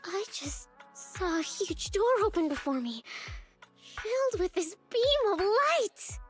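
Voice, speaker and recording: A manic young woman from a video game, pitched extremely high. Her delivery is dramatic and swings widely in pitch, with game music clearly playing behind her. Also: sing-song, slow, full character voice. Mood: dreamy, rapturous. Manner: dramatic, theatrical. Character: hyperactive, unhinged energy-drink-obsessed young woman. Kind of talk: video game character acting.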